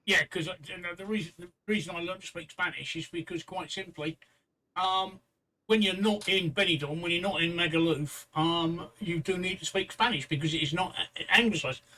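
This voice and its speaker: A man's voice: high voice, moderate pace, pushed and bright, slight background noise. A man in his sixties chatting casually as co-host of a livestream talk show, rambling and joking, repeating himself often. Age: 60s. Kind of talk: casual chat. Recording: voice somewhat far from the mic.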